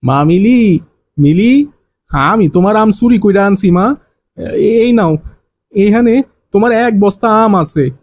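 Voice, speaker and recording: A man's voice: high-pitched, animated, slow, pauses often, a little mellow, exaggerated voice. A man playing a cowardly village thief in a cartoon, pleading and repeating himself in colloquial rural Bangladeshi speech. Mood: coaxing.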